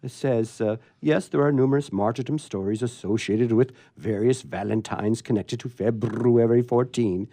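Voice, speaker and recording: A man hosting a radio theatre show informally, joking and rambling in a halting way. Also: mid-range voice, vocal fry, warm tone. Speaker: host.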